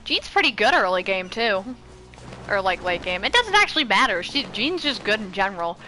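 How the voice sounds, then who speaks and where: Girl streaming a game, chatty offhand comments, bright lively voice, faint music behind. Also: mid-range voice, brisk, poor audio. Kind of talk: casual gameplay commentary.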